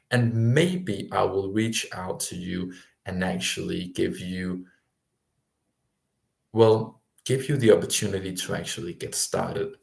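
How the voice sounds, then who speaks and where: A man's voice: lowish voice, vocal fry, echoey room. A man coaching language learners in a livestream, talking with rambling enthusiasm and lots of fillers. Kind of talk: livestream talk to viewers.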